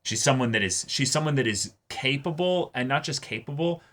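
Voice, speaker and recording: A man's voice: mid-range voice, lively intonation, fairly quick, a bit bright. A man co-hosting an anime reaction talk, rambling casually and acting out characters' lines.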